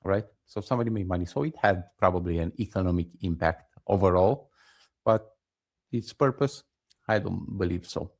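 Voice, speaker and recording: A man's voice: low-pitched, normal speed. A man from Romania with a Romanian accent, co-hosting a casual podcast and adding skeptical, wry asides. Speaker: podcast co-host.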